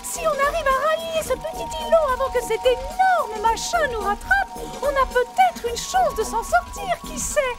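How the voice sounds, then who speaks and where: An excitable young pirate sailor, extremely squeaky and wildly swooping. The cartoon figure is pushy and urgent, heard over loud music. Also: female voice, moderate pace, few pauses. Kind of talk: French cartoon dubbing.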